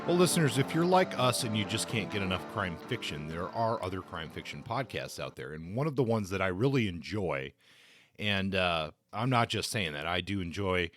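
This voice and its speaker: A man hosting a podcast in a casual, conversational way, feeling out his phrases haltingly. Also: deep voice, very animated, a bit bright, soft background music. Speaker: podcast host. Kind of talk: casual podcast talk and book review.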